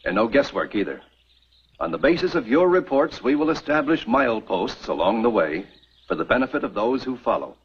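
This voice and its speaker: A stern wagon train elder who hands out orders in formal speech, his voice husky with a lively pitch. The sound is a dull, old television soundtrack. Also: a little fast. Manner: commanding, formal.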